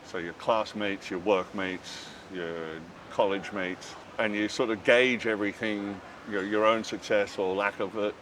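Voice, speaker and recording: A man's voice: lowish voice, fairly even intonation, unhurried, few pauses, fairly bright tone. A middle-aged Australian man telling stories in a casual interview, rambling and laughing often with lots of "you know"s. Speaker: interview guest. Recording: distant mic with city noise.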